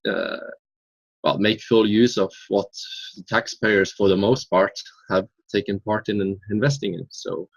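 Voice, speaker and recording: A man's voice: lowish voice, unhurried, gravelly, slightly rough, dry recording, dull audio. A young man in his twenties from Norway, answering interview questions in an earnest, thoughtful way with frequent hesitations. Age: 20s. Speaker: interview guest.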